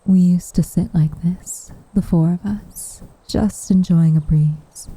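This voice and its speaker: A woman playing a gentle, wistful witch in an intimate ASMR roleplay, speaking softly and half-whispered, in a measured, tender way. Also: lowish voice, fairly even intonation, rough recording. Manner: soft, breathy, tender, measured delivery. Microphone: close microphone.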